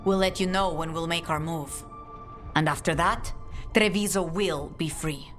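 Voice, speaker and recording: An actress playing a resolute, solemn leader of an assassin guild in a video game, speaking in a measured, commanding way. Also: female voice, lowish voice, fairly bright tone, faint music behind. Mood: solemn resolve.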